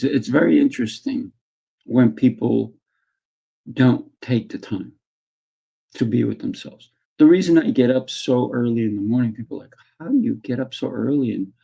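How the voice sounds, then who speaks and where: A man who teaches martial arts, speaking in a calm, soft guiding way as a podcast guest. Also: mid-range voice, gravelly, husky, muffled recording. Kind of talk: conversational interview talk.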